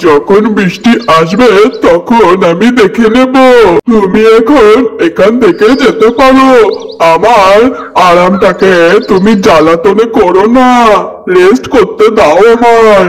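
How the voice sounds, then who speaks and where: Man voicing a high-pitched, slow, lazy crow. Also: rough recording. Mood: irritated, dismissive. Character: lazy, grumpy male crow who loves resting. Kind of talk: cartoon story character dialogue.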